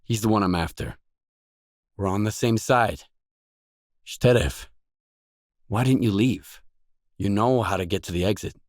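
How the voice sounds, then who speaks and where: A man acting as a gruff video game stalker with a low voice and an unhurried pace. He is guarded and self-assured, keeping his lines terse and dry. The sound is close and dry, with no room around it. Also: no echo, close to an everyday voice. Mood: calm, firm. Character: gruff, guarded male stalker, lone survivor for hire.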